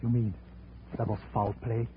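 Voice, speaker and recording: A man's voice: on the low side, level tone, moderate pace, lo-fi sound, lightly acted. An actor playing a polite, money-minded European businessman in a 1950s radio drama. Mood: shocked, grieving. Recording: thin, noisy old radio recording.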